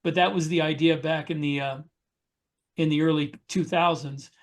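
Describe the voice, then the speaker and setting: Male podcast co-host, voice a bit high, casual explanatory delivery with pauses mid-thought. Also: steady tone. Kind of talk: casual discussion of writing military science fiction.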